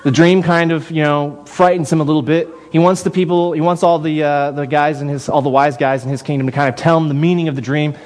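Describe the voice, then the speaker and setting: A man delivers a sermon in a casual, conversational teaching tone. His voice sits a little high and jumps up in pitch when he stresses a point. He often repeats a word or restarts a phrase mid-thought. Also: steady tone, quick pace, few pauses, darkish tone. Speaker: pastor.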